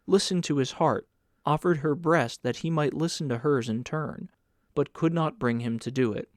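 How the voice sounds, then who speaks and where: A man's voice: medium pitch, no room sound, studio quality. A man reading literary fiction as a scripted audiobook narration.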